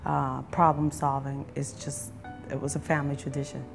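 A woman in her thirties from East Harlem answers interview questions about her cafe with a low, level, slightly gravelly voice. She talks candidly, full of ums and restarts, recorded on a lapel mic, and there is faint music in the background. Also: little pitch movement, moderate pace. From East Harlem, New York. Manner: candid, with many fillers and restarts. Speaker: cafe owner. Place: brick-walled cafe, seated on a couch.

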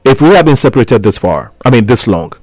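A man's voice: mid-range voice, sing-song, fast, darkish tone, husky, no room sound. A man in his thirties from Ghana, a guest on a college radio interview, speaking earnestly and persuasively with frequent restarts. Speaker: guest, talk show host. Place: small college radio studio.

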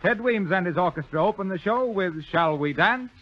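Male vintage radio announcer, animated scripted delivery, muffled broadcast recording. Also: high-pitched, moderate pace, over loud music.

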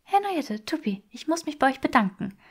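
A polite but exasperated young woman in a fantasy audiobook, voiced by a woman narrator. Her voice sits a little high, measured yet faintly flustered and defensive. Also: very animated.

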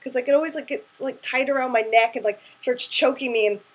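A woman's voice: high-pitched, a little fast, slightly rough. A woman in her twenties chatting casually to camera as an artist hosting her own show, in a rambling way peppered with "like", recorded in a small room at home. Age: 20s.

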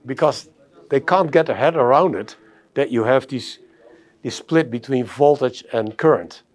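A man's voice: mid-range voice, measured pace. A middle-aged man giving a technical product presentation in an indoor exhibition room. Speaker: audio company presenter.

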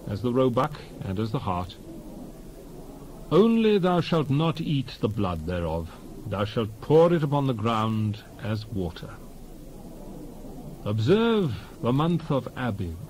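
Male Bible reader, mid-range pitch, highly animated intonation, solemn dramatized delivery. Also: slight background noise, low-quality recording. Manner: solemn, declamatory, measured phrasing. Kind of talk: dramatized scripture reading.

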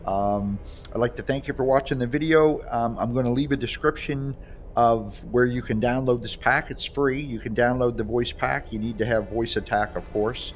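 A man giving a casual, halting, conversational gameplay demonstration. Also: mid-range voice, fairly even intonation. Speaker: creator demonstrating his voice pack. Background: music behind the voice.

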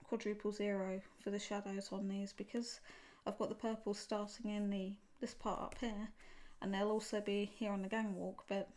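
Woman, art tutorial host, relaxed chatty narration, thinking aloud, mid pitch. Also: normal speed, few pauses. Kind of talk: casual narration while drawing. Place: home desk, seen from above.

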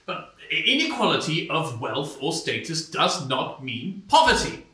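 A man's voice: on the high side, very animated, unhurried, bright, forceful tone, heavily acted. An actor playing a smug male intellectual debating on TV in a stage play, heard from a far mic in a small stone-walled theater. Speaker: debate guest. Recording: roomy, distant stage sound.

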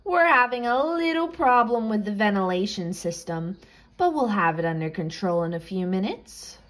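A woman's voice: medium pitch, expressive, moderate pace, lightly acted. A woman voicing a placating cruise director from a storybook, with a formal announcing manner. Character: placating adult cruise director soothing angry passengers.

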